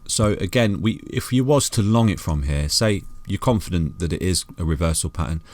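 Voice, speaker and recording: Brief man, deep and soothing, rambling friendly chart walkthrough, dry close mic. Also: expressive, moderate pace, darkish tone, dry recording. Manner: chatty, calls viewers "guys" and "mate", many restarts. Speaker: crypto chart analyst.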